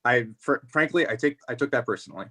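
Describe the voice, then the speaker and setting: Teasing man with a mid-pitched voice. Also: animated, fast talker, sharp tone. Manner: joking, teasing, mock-serious. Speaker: podcast panelist. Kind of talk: casual banter.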